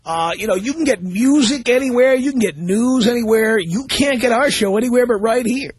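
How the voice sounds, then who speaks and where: A man's voice: extremely high pitch, fairly quick, breathy edge, no room sound. A man hosting a call-in talk radio show, teasing and blunt with his callers in a chatty studio manner. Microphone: studio microphone.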